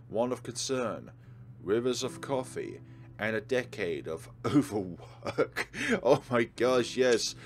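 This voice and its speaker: A man hosting a let's play, reading game text aloud and commenting on it. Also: mid-range voice. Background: game music.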